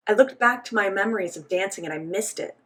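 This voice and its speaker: A woman voicing the interpretation of a signed interview, speaking for both host and guest in a measured first-person delivery. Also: mid-range voice, fairly bright tone, husky.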